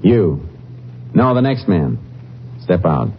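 An actor playing a calm, tough Texas Ranger lawman, laconic and commanding, in a 1950s western radio drama. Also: low-pitched, takes it slowly, slight background noise, full character voice. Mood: calm, businesslike. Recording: muffled vintage radio recording with music.

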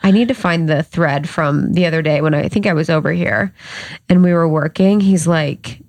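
A young woman co-hosting a chatty podcast, her voice stuffed up and congested. She sits low in her range and laughs easily, and the recording is close and dry with no room sound. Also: normal speed, darkish tone, no echo. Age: young adult. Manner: chatty, laughing, swears casually. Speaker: podcast co-host. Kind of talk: casual chat.

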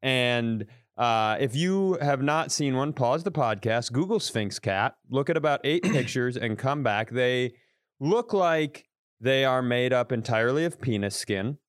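A man's voice: mid-range voice, expressive, unhurried, no room sound, studio quality. A man co-hosting a podcast in casual comedic chat, telling exaggerated stories with plenty of swearing. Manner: wry, exaggerated storytelling with profanity. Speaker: podcast co-host.

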